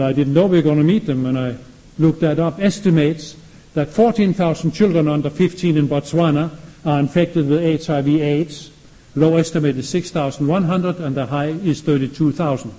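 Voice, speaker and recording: A man with a Danish accent, speaking English as his second language, delivers a lecture at a steady classroom pace. His voice is warm and mellow and sits a little high for a man. He poses question after question to the room. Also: rough recording. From Denmark.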